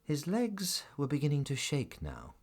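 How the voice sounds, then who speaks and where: Male narrator, fairy-tale storytelling, very expressive pitch, musical backing, scripted audio drama. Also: unhurried.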